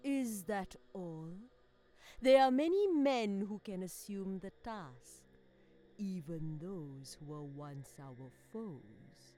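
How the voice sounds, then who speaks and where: A zealous cult apostle, played by a woman, advises warlords in an audio drama. She speaks slowly and imperiously, with measured scorn, over faint music. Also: medium pitch, lively intonation, warm tone. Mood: scornful, persuasive. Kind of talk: scripted audio drama acting.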